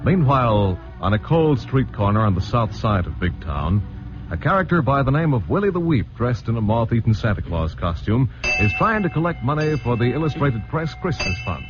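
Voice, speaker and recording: A man's voice: mid-range voice, sing-song, moderate pace, over music. Dramatic old-time radio announcer, man. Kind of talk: 1940s radio drama narration. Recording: muffled old radio broadcast with faint hiss.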